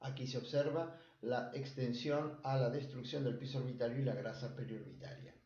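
An Argentine man, a surgeon, reads a medical case presentation in a measured, formal tone. His voice is mellow and relaxed, and it sounds dull and a little roomy, recorded at a desk webcam in a small office. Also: medium pitch. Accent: Argentine Spanish. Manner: measured, formal clinical reading.

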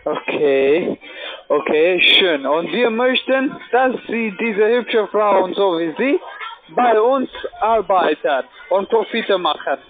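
Casting: male phone scammer, foreign accent, high voice, persistent questioning, tinny phone sound with music behind. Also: lively intonation, slow. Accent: foreign, non-native German. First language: not German. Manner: persistent, repeats questions and instructions. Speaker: cold caller, phone scammer. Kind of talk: phone sales pitch, pushy small talk. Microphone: phone call.

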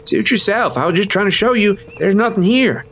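An actor playing a cocky, teasing young boyfriend in an audio drama, casual and dismissive. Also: male voice, sits high, animated, brisk, fairly bright tone, a little hiss or hum.

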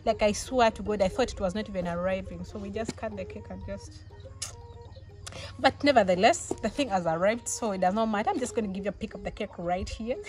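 A young woman from Uganda, a vlogger, unboxing outdoors in a village yard, talking with giddy excitement, laughing often and exclaiming. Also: medium pitch, brisk. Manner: giggly, breathless with excitement, frequent laughs and exclamations. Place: outdoors in a rural village yard, seated on a mat.

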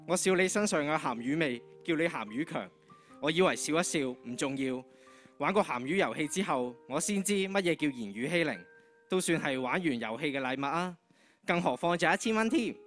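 A teen playing an animated, excitable schoolboy. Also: male voice, on the high side, brisk, soft background music. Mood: remorseful. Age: teenager. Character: excitable, easily panicked schoolboy. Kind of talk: Cantonese radio drama acting. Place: on stage in a school drama competition hall.

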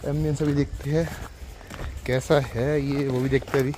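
A man giving a casual, relaxed walkthrough for a vlog while showing around a hostel indoors. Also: mid-range voice, normal speed, a little hiss or hum, rough recording. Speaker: travel vlogger.

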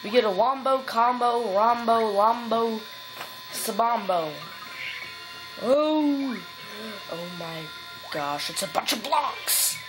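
A woman's voice: fairly high pitch, takes it slowly, long pauses, music in the background, rough recording. A woman casually commenting on her gameplay, rambling with many fillers, giggles and silly rhymes, recorded on a phone at home. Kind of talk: casual let's play commentary. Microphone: phone filming the TV.

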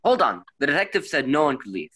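A performer playing a defensive male murder suspect with a husky voice in a radio mystery play. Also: medium pitch, very animated, quick pace, sharp tone, no room sound, studio quality.

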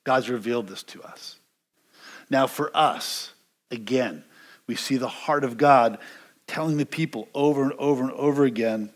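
A middle-aged American man, a pastor teaching verse by verse in a conversational, emphatic way, repeating words for emphasis. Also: mid-range voice. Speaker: pastor teaching a Bible study. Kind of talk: expository sermon, verse-by-verse Bible teaching. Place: indoors, church stage with stone wall.

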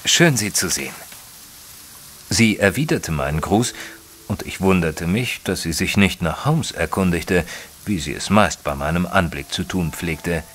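An actor playing an educated, observant gentleman who narrates his own story in a formal, literary audio drama style. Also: deep voice, unhurried, a little hiss or hum. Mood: polite, hesitant. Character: male narrator Mister Tristram, educated, observant, easily flustered gentleman. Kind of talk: scripted first-person audio drama narration.

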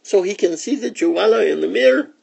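A man's voice: high-pitched, lively intonation, fairly quick, gravelly, a little mellow, husky, voice pushed up, heavily acted, poor audio. A man voicing little girl kittens, cheeky tattletales in a storybook. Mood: cheeky tattling.

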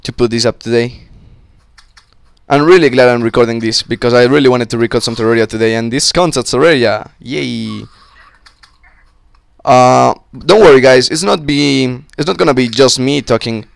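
A young man with a slightly sick voice, giving casual, excitable commentary on a co-op game with friends on a close mic. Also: medium pitch, normal speed, creaky, dry recording. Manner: excitable, swearing, joking with friends. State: sick, voice a little off. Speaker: gameplay commentator. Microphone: close-up desk mic.

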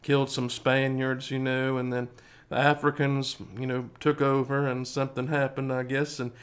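A man's voice: mid-range voice, little pitch movement, normal speed. A man in his thirties playing piano on a live stream, chatting to viewers in a rambling way and laughing easily. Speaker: singer and pianist streaming live. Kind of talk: singing with piano, then casual chat to viewers. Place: indoors before a green-screen backdrop. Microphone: studio mic with pop filter. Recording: piano music under voice.

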